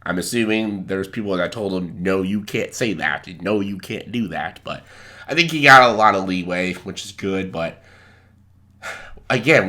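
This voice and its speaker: Stuffed-up guy with a cold doing a casual movie rant to camera, low-ish voice, kinda bright and forceful. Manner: blunt and ranting, with quick asides. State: congested with a cold. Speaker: movie reviewer. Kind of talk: casual spoken movie review.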